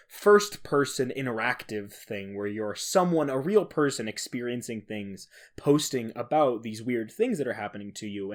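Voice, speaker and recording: A man talks alone as a podcast co-host in a medium-pitched voice. He explains his topic in a casual, conversational way. He wanders into self-deprecating asides as he goes. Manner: chuckles at own remarks.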